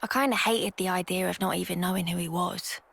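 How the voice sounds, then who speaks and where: A woman's voice: on the low side, steady tone, fairly quick, vocal fry, fairly bright tone, husky, heavily acted. A woman playing a composed, frank young soldier in a video game, matter-of-fact. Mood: reflective.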